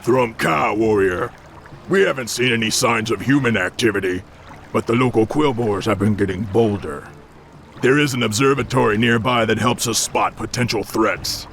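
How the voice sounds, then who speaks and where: A man voicing a stern, commanding orc warrior in a video game, with a gravelly voice. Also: fairly low pitch, moderate pace, a bit bright, a little hiss or hum. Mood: cautionary. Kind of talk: video game character acting.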